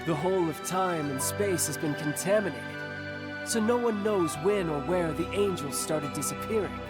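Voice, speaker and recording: A woman's voice: on the low side, animated, normal speed, natural voice. A woman voicing a grandiose divine emissary in a video game, declaiming in lofty, archaic, theatrical phrases. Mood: solemn, grandiose. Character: grandiose divine emissary Primal, theatrical, exuberant, young-sounding. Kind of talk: scripted video game dialogue. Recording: orchestral music behind the voice.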